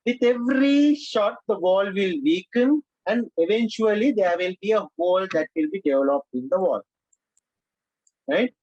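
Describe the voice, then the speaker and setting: A man from Sri Lanka who speaks English as a second language, leading a speech-writing workshop with animated emphasis and frequent direct address to his audience. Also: high voice, moderate pace, no echo, highs cut off. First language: Sinhala or Tamil. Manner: animated, emphatic, repeats "my dear friends". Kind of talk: online workshop lecture.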